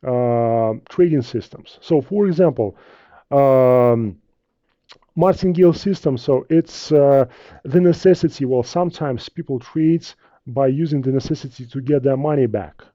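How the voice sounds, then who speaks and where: A man with a Russian accent, a native Russian speaker, teaching trading in a live webinar, halting, with many fillers and restarts. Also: medium pitch, mellow, no echo, lo-fi sound. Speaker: trading instructor. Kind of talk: live webinar talk with viewer questions.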